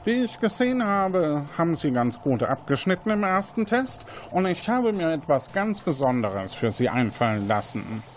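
An actor playing a quirky lab inventor in an interactive audio drama, chatty, sardonic and a little condescending. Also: male voice, on the high side, very animated, moderate pace, hardly any pauses, slight background noise. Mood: teasing.

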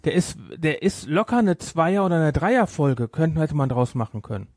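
A man with a slightly high-pitched voice talks as a podcast host in a dry, close recording. He chats casually and makes his points with emphasis, often saying them again in other words. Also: expressive, normal speed, a little mellow, no room sound. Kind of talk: casual discussion, reviewing an audio drama.

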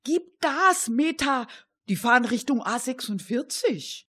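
A woman playing an excitable amateur detective in a radio play, stammering and exclaiming in a flustered way. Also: high voice, a little slow, a little hiss or hum, voice pushed up. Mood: alarmed.

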